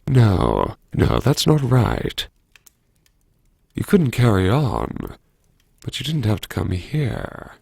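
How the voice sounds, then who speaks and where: A man performing an audiobook monologue as a wry, haunted university vice-chancellor, brooding and talking to himself in halting phrases. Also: on the low side, gravelly, slightly rough, dry recording, clean studio sound, full character voice. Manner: brooding, wry, halting self-address with sighs. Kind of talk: dramatic first-person interior monologue.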